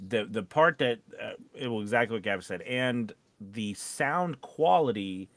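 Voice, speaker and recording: A man coaching in a conversational live discussion, explaining in a halting way with repeated words. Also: medium pitch, lively intonation, a bit bright, no room sound. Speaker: voiceover coach and co-host.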